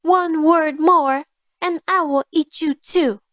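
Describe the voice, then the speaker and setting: A woman voicing a greedy, haughty female cat in a fairy tale, with a smug and deliberate manner. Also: extremely high pitch, steady tone, fairly bright tone, no echo, studio quality, voice pushed up, exaggerated voice. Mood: menacing.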